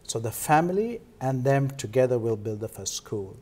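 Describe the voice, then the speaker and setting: A man with a Sri Lankan accent explaining things as a guest in a televised interview, halting now and then mid-sentence. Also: mid-range voice, lively intonation, dark tone. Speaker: interview guest, foundation co-founder.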